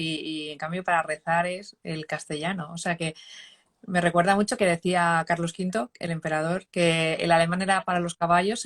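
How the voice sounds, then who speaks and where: A woman hosting an interview, asking lively questions. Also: on the low side. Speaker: podcast host.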